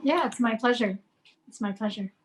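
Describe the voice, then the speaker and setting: A woman in her forties from India, a guest in an interview, telling stories in a thoughtful, unhurried way. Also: fairly high pitch, noisy background. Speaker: guest, archive founder. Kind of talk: interview answers, reminiscing.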